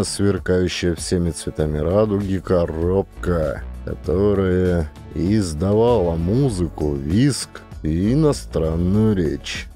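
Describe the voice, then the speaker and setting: A man's voice: deep voice, warm tone. A man narrating a satirical audiobook in a dramatized storytelling style.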